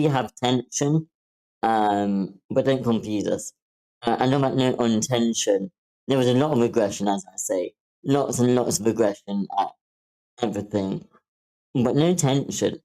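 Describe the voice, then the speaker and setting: Man, mid-pitched, very mellow dark tone, frank deliberate critic on an online call. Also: low-quality recording. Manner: blunt, deliberate, emphatic. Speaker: table-read participant giving script feedback. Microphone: online meeting call.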